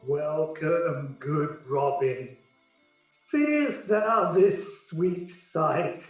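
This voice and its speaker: Man acting lordly fairy king, lightish pitch, declamatory verse, radio play, heavily echoing and distant sound. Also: animated, soft background music. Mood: lordly, pitying. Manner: stately, measured, declamatory. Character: Oberon, lordly fairy king, scheming yet softening. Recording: very echoey, distant, dull sound.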